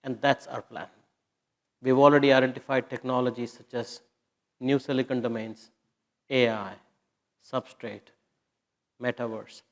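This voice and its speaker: A man's voice: medium pitch, steady tone, unhurried, pauses often. A man speaking as an executive at a press conference, answering questions in a confident, emphatic way. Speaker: company executive.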